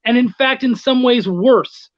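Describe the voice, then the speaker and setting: A man's voice: very high-pitched, unhurried, no echo, lo-fi sound. A man chatting casually as an interview guest, with informal, slangy talk. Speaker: guest, comics writer and editor. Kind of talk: casual video chat.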